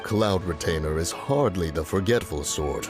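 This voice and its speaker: A man's voice: sits low, animated, normal speed, over music. A man voicing an ancient, solemn adeptus in human form, speaking formally in archaic phrasing. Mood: composed. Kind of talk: game voice acting.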